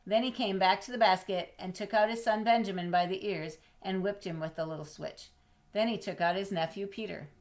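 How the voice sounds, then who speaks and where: A woman reading a children's storybook aloud expressively, with dramatic pauses, drawn-out words and questions to her young listeners. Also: medium pitch, fairly even intonation. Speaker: storybook reader.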